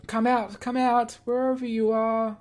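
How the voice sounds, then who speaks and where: Man putting on a sweet girlish young woman, higher-pitched. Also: little pitch movement, measured pace, loud music behind the voice. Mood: searching. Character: sweet childlike young woman, gentle and airy.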